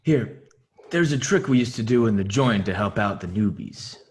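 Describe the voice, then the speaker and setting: A man reads a crude, unbothered male jailbird who coaxes his cellmate in a low, easy voice. The delivery is slow and mocking, with a calm that makes it feel worse. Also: lightly acted. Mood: coaxing. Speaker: table read actor. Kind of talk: table read of a script.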